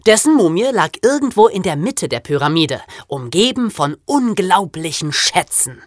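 A man's voice: sits high, very animated, moderate pace, no room sound, clean studio sound. A young man co-hosting a podcast, telling scripted stories dramatically for young listeners. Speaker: podcast co-host. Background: music.

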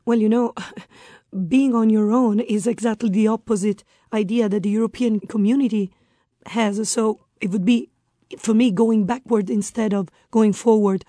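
A woman's voice: mid-range voice, steady tone, moderate pace, mellow, dry recording, clean studio sound. A woman from Italy with an Italian accent, speaking earnestly as a guest in a radio interview. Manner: earnest, repeats words, strongly believes what she says.

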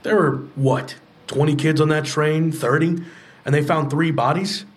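A man's voice: on the high side, fairly even intonation, unhurried, lightly acted. An actor playing a skeptical man who questions everything in an audio drama support group scene.

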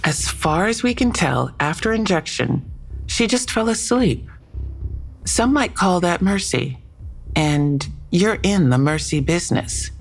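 A cool, needling policewoman in an audio drama. Her voice sits low, and she questions in a dry, accusatory way over light music. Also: lively intonation, a little slow, gravelly, heavily acted. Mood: probing, accusatory.

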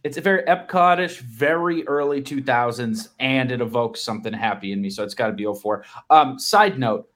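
Mid-pitched American man, casual podcast talk. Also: fairly quick, hardly any pauses, a bit bright. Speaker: podcast co-host. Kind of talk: casual sports podcast chat.

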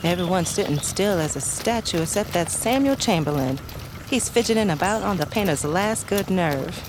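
An actress playing a practical, wary wife with a Southern US accent in an audio drama. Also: female voice, on the low side, lively intonation, moderate pace, natural voice. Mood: wry, confiding. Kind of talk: naturalistic audio drama dialogue. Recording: noisy outdoor street ambience.

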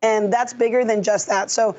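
A woman who has lost her voice, explaining refereeing decisions in a measured, technical way on a podcast. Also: on the high side, steady tone, a little mellow, dull audio. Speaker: refereeing analyst. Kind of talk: podcast discussion.

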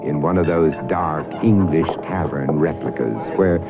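An actor playing a droll storyteller, narrating a detective tale in a 1970s radio drama. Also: male voice, a bass voice, measured pace. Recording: muffled radio recording under loud music.

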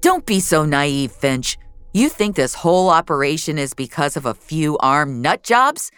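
Gruff, very low-voiced regretful male mentor played by a woman. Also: sing-song, measured pace, a bit bright, no echo, full character voice. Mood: grave, insistent. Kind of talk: audio fiction acting.

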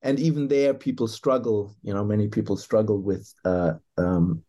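A man with a low, mellow voice talks through his ideas as an interview guest. He hesitates often, circles back and restarts phrases as he builds long careful answers. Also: fairly even intonation, moderate pace. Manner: thoughtful, hesitant, many fillers and restarts. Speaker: author and historian guest.

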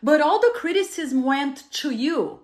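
A woman, hosting a casual podcast interview in an animated way and laughing often. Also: sits high, a little slow. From Latin America. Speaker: podcast host.

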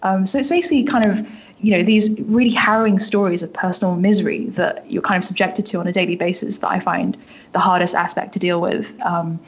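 A woman's voice: fairly low pitch, steady tone, fairly quick, breathy edge, dull audio. A woman reporter giving conversational interview answers in an informal way, often saying "like" and "kind of". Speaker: newspaper reporter being interviewed.

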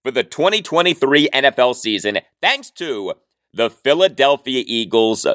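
A man's voice: mid-range voice, big pitch swings, normal speed, a bit bright, no echo, studio quality. An American man hosting a sports podcast, delivering commentary emphatically and stretching out words for effect.

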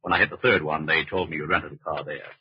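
Deep, gravel-husky American G-man. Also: steady tone, fast, heavily acted. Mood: coolly explaining. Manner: methodical, dry, matter-of-fact. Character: unflappable, dryly taunting male FBI special agent. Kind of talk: 1940s American radio crime acting. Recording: muffled, dull vintage 1940s radio recording.

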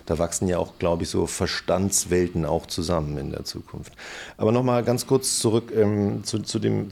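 A man with a low voice and plenty of gravelly fry hosts a conversational podcast interview. He talks informally, putting his questions in a loose, roundabout way. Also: a little mellow.

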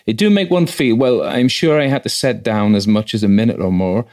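A man's voice: on the low side, a little fast, a little mellow, natural voice. A man voicing an agitated, chatty country housekeeper with a flustered manner and rustic dialect, in a read-aloud ghost story.

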